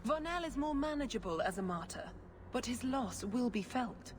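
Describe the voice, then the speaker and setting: An actress voicing a scheming, zealous priestess in a video game, with a formal, controlled and sanctimonious manner. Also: female voice, fairly high pitch, animated, normal speed, close to an everyday voice. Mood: cold, smug. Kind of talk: dramatic video game acting. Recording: loud ambient noise behind.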